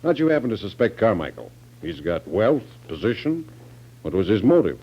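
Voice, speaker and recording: Deep-voiced blunt radio sheriff. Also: male voice, big pitch swings, moderate pace, breathy edge. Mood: puzzled, brusque. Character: gruff, skeptical county sheriff questioning a case. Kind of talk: 1940s radio drama acting. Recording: dull 1940s radio recording.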